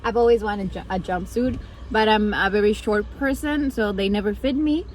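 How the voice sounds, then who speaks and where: A woman's voice: medium pitch, normal speed, slight background noise. A woman in her twenties, a native Spanish speaker, chatting informally and with self-deprecation in a casual vlog. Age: 20s. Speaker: vlogger.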